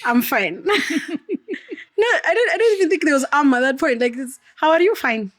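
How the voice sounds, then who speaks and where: Podcast guest, Amharic-young woman, high pitch, laughs a lot. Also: normal speed, no room sound. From Ethiopia. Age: 20s. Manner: lively, laughs often, many "like" fillers. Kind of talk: casual interview chat.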